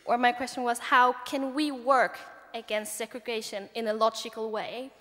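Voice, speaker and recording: A woman in the audience asking a question at a microphone, halting and hesitant. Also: a little high, flat delivery, bright, forceful tone. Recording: long reverb of a large hall.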